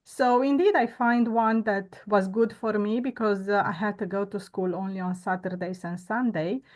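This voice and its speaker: A woman, a guest telling her story earnestly and reflectively, pausing often on "uh" and "um". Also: medium pitch, fairly even intonation, a little mellow. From Romania. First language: Romanian. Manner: earnest, reflective, with frequent "uh" and "um" pauses. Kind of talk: conversational interview storytelling.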